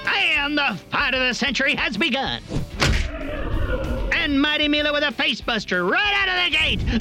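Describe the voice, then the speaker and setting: An excitable cartoon sidekick with a strikingly high, animated voice. He narrates like a dramatic wrestling announcer, milking every line. Music plays clearly behind him. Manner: theatrical, dramatic, exclamatory. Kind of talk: exaggerated play-by-play sports commentary and comic narration.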